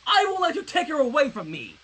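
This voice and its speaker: Reader doing an emotional, high-pitched brainy teenage girl. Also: huge pitch swings, fast talker, fairly bright tone, heavily acted. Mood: defiant. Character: anxious, brainy, lovestruck teenage girl with glasses.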